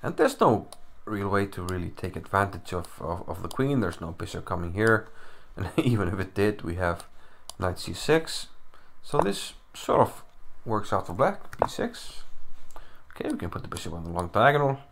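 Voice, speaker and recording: A man's voice: sits low, lively intonation, moderate pace, vocal fry, a bit bright, slight background noise. A man with an Icelandic accent casually analyzing a chess game from home, chatty and self-deprecating as he thinks aloud. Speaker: chess commentator. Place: home room. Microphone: computer mic, no camera.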